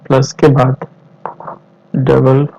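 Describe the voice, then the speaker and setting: A man in his twenties with an Indian accent explains step by step as a tutorial instructor, in halting short phrases mixed with English terms. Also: mid-range voice, completely flat delivery, vocal fry, dark tone. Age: 20s. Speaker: programming instructor. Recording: dull, lo-fi voice recording.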